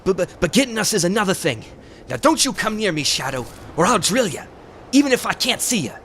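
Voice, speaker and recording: An actor playing a jumpy, blustering, slangy tough crook in an old-time radio drama. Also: male voice, high voice, sing-song, a little fast, fairly bright tone. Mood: jumpy defiant. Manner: slangy, blustering, stammering when rattled. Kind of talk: 1940s radio drama acting. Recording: clear background noise.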